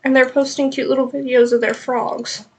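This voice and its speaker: A woman's voice: high-pitched, flat delivery, normal speed, slightly rough. A woman with a stuffed-up nose chatting casually and rambling on a live knitting stream, with many ums.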